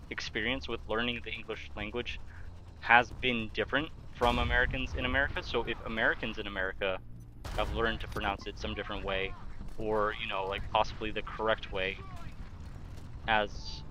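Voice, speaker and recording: Bright-voiced young man streaming games. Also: fairly low pitch, fairly even intonation, moderate pace, a little hiss or hum, low-quality recording. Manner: chatty, reads chat aloud and answers while narrating play. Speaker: livestreamer. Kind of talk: casual live-stream chat while gaming.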